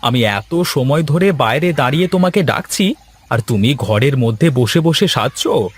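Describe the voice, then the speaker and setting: A man's voice: medium pitch, lively intonation, moderate pace, breathy edge. A man playing a put-upon village fisherman in a cartoon story, folksy, theatrical and given to complaining. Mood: exasperated. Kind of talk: Bengali cartoon story acting.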